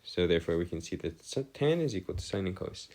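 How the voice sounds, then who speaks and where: Male tutor, deep register, lively melodic swings, bookish phrasing, hesitant stop-and-start explanatory delivery. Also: quick pace. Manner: formal, bookish wording. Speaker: math tutor. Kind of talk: improvised lesson explanation on trigonometry.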